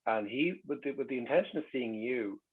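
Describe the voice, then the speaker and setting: Phone-like sound, irish guy middle aged rattling off family stories, bit raspy. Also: mid-range voice, animated, brisk. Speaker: guest. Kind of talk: casual anecdotal chat.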